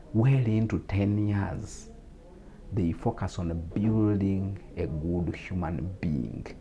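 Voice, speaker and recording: A man's voice: sits low, warm tone. A man from Uganda with a Ugandan English accent, a guest on a talk show, explaining steadily with repetitions and fillers.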